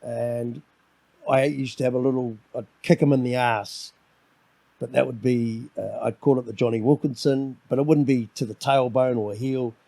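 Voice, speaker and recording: A middle-aged man with a New Zealand accent, a podcast guest in casual conversation, with a loud, domineering voice that rambles and repeats words as he starts his sentences. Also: mid-range voice, steady tone, normal speed, gravelly, dry recording.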